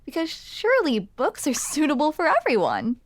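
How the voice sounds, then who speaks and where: A woman's voice: high-pitched, huge pitch swings, normal speed, no echo. A woman playing a grumpy but smitten barista in an intimate ASMR roleplay, hesitant, teasing and self-deprecating, close to the microphone. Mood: curious, wry. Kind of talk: ASMR roleplay, intimate one-sided conversation. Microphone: close microphone, dry.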